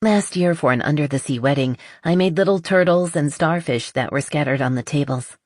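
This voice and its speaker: A woman voicing a spirited, playfully stubborn female artist in an audiobook romance, frank and animated. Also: low-pitched, a little fast, dry recording, clean studio sound. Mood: chatty, enthusiastic. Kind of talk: audiobook character dialogue.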